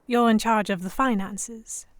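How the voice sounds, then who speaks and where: A woman's voice: a little high, fairly even intonation, a little slow, dry recording, studio quality, close to an everyday voice. A woman playing a defiant, sarcastic young Victorian English noblewoman held captive, narrating in formal first person for an audio drama. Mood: wry sarcasm. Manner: sardonic, defiant, formal diction. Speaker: narrator and lead voice actor.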